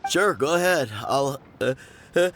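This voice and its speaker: A man voicing an earnest, dutiful guard in a video game, halting and coughing, under the weather. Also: on the high side, noisy background. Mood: sickly, apologetic. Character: earnest, dutiful male guard soldier, sniffly but resolute. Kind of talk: video game character acting.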